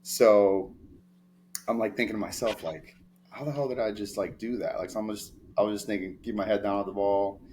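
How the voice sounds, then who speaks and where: Man, deep voice, monotone, relaxed rambling storyteller, faint hiss behind. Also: a little fast. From New York, of Dominican family. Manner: relaxed, rambling, many fillers and restarts. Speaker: baseball player agent, guest. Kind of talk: casual interview storytelling.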